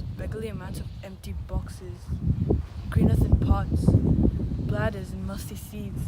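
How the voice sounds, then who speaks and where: A woman's voice: mid-range voice, heavy background noise, natural voice. A woman playing a lovesick young man driven desperate by grief, in declamatory, theatrical Shakespearean verse. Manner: declamatory, impassioned.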